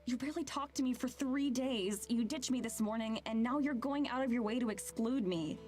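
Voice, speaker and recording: A woman's voice: on the high side, a little fast, a little mellow, soft background music, lightly acted. An actress playing a hurt, earnest young woman in a visual novel, pleading and confrontational. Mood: hurt, frustrated.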